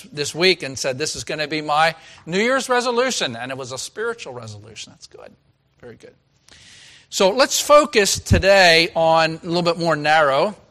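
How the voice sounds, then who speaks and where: A pastor with a raspy voice preaching a sermon in a folksy, chatty way, asking the congregation questions. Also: male voice, fairly high pitch, fairly bright tone. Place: church.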